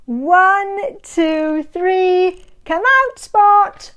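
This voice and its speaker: Slow sing-song woman reading. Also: extremely high pitch, expressive, fairly bright tone, dull audio. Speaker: storybook reader. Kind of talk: reading a picture book aloud to small children.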